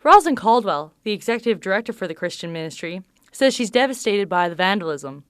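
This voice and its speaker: A woman reading a scripted news report as a student reporter, in a measured reporting cadence. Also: mid-range voice, very animated, clear, resonant tone, no room sound.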